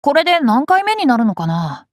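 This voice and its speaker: A young boy king from an anime game, high and very animated in pitch. He is cheerful and curious, with a polite, playfully confident way of talking. The sound is close and dry. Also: normal speed, no echo. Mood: thoughtful, candid. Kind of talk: Japanese anime game character lines.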